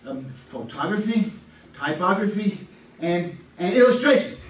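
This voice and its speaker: A man's voice: high voice, unhurried. A man playing an eager, flustered quiz contestant. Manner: eager, stammering, over-excited. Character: eager flustered married man, graphic designer. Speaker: game show contestant. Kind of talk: live stage radio comedy. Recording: echoey stage audio from far off.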